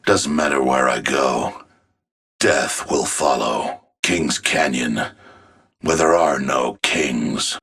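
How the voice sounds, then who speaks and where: A man voicing a grim, arrogant villainous scientist in a video game, cold, pompous and deliberate. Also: very deep voice, creaky, a bit bright, slightly rough, exaggerated voice. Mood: cold disdain. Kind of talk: video game character voice lines.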